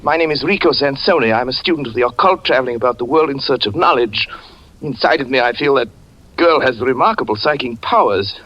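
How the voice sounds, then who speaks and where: A man's voice: medium pitch, expressive, a little fast, slight background noise, close to an everyday voice. A man playing a silky sinister occultist. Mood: ingratiating. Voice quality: silky, ingratiating. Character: suave smooth-talking male occultist, charming and sinister. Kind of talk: 1940s radio drama acting. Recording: lo-fi old radio recording, faint music.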